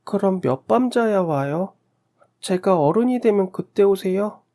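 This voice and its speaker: Man voicing an innocent little child, pitched high. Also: animated, a little slow, dark tone. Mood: innocent, pleading. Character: innocent ten-year-old orphaned child, naive and questioning.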